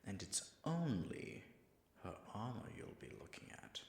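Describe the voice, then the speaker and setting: A man's voice: lowish voice, huge pitch swings, a little slow, vocal fry, roomy sound, slight background noise, heavily acted. A man acting as an all-powerful, self-conscious dragon in disguise, muttering dry, sardonic asides to himself in a scripted audio roleplay. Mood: flustered.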